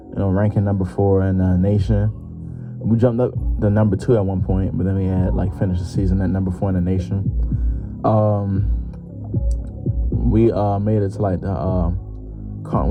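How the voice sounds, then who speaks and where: A 21-year-old man hosting his own podcast, talking loosely and jokingly with lots of slang and fillers. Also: low voice. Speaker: podcast host. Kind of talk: casual solo podcast monologue. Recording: muffled lo-fi with music behind.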